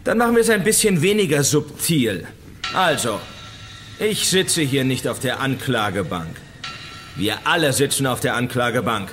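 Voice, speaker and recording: An actor in a radio crime drama playing a taunting, theatrical villain who lectures smugly about guilt and redemption. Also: male voice, mid-range voice, lively intonation, moderate pace, a bit bright, faint music behind, close to an everyday voice. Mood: taunting, preachy. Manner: smug, theatrical, mock-pedantic.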